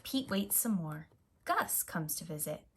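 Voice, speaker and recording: A woman reading a picture book aloud to young children in an expressive, deliberate manner as a storyteller. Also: fairly low pitch, heavy background noise. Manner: slow, expressive, simple deliberate phrasing. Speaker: storyteller, preschool teacher.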